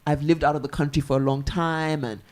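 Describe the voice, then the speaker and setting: A woman's voice: deep voice, fairly even intonation, a little fast, a little mellow. A woman writer interviewing a fellow author in a live festival conversation, thoughtful and searching, with long questions that circle around their point. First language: English.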